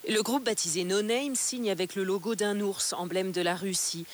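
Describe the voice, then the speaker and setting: A woman's voice: mid-range voice, normal speed. A woman reads a scripted news report as a radio reporter. The recording comes off FM radio and carries a faint hiss.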